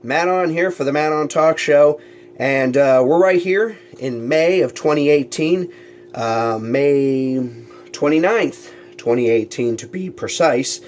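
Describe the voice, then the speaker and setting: Congested male vlog host, slightly high voice, rambling solo monologue, faint hum. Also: slow pace, a little mellow. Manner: rambling, full of ums and restarts. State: congested from allergies and a cold. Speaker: talk show host. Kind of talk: casual solo monologue to camera.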